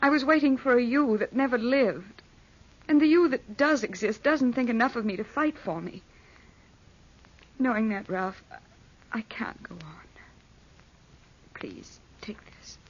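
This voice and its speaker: An actress playing an earnest, anguished young fiancée in 1940s radio melodrama style, hesitant and tremulous. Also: female voice, fairly high pitch, animated, unhurried, long pauses. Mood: sorrowful resolve. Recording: muffled old radio drama recording.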